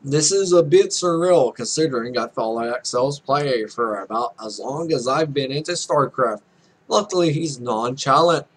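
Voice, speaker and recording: A man's voice: mid-range voice, moderate pace, breathy edge, poor audio. A young man hosting a let's play, reading game text aloud with casual, irreverent, sweary asides. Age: young adult.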